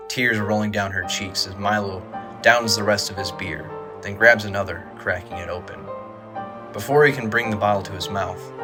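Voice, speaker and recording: A man reading scripted dramatic narration for an audio drama. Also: on the low side.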